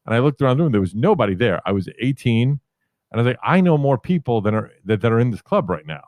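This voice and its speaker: A man in his fifties from New York City with a deep voice, telling rambling, self-correcting stories about his career as a podcast guest. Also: very animated, fast, a little mellow, dry recording. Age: 50s. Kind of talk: casual storytelling interview.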